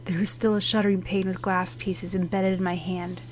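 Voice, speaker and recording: Female audiobook narrator, lower register, scripted dramatic storytelling. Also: little pitch movement, a little fast, faint music behind, poor audio.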